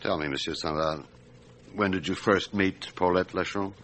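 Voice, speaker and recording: A middle-aged detective inspector speaks in a low register, calm and in control. His questions are clipped and measured, in a radio drama style over clear background noise. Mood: probing, calm. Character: composed, probing male police inspector, middle-aged, quietly authoritative. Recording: noisy radio drama recording.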